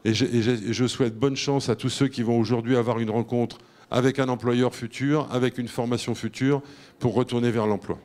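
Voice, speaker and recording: Mid-pitched man making a speech. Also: steady tone, fairly quick, darkish tone. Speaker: official giving a speech. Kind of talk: public speech.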